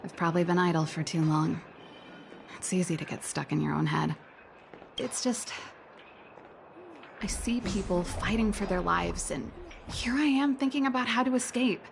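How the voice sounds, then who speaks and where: A woman's voice: lowish voice, normal speed, gravelly, long pauses, a little hiss or hum. An actress playing a headstrong, laid-back young woman rocker with a casual, wry manner in a video game. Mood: reflective.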